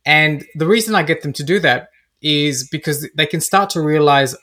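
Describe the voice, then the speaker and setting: Australian male business coach around forty, fairly high voice, very bright, forward tone, conversational guest delivery. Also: moderate pace. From Australia (Melbourne), born in Fiji. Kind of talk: conversational interview answers.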